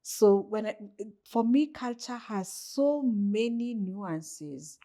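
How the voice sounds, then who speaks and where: A woman's voice: medium pitch, lively intonation, takes it slowly, dark tone. A Kenyan woman, a coach, talking thoughtfully in an interview and posing rhetorical questions to herself. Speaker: guest, coach. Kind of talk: reflective interview conversation.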